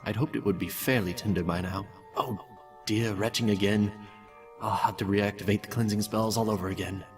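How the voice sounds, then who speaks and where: A man's voice: on the low side, fairly even intonation, a little fast, close to an everyday voice. A man voicing a cold, detached mad scientist who pompously dictates cruel experiment notes in a fan-made audio drama. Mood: mocking, cruel. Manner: clinical, detached, pompous, menacingly polite. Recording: distant voice with music behind.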